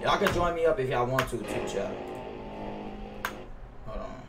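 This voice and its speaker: A man's voice: mid-range voice, expressive, normal speed, over loud music. A young American man livestreaming a game, chatting casually in slang with plenty of swearing and repeated phrases. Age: young adult. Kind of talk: casual livestream chat with viewers. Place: small indoor room at a gaming setup. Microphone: gaming headset. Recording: roomy, distant mic, faint noise, keyboard clicks.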